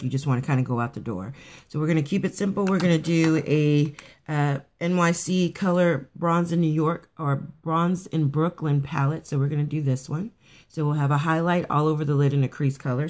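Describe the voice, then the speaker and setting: A woman with a raspy morning voice casually talking through a quick makeup routine, rambling a bit with fillers and restarts. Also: low voice, darkish tone. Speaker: beauty vlogger. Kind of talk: casual makeup tutorial chat. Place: at home getting ready for work.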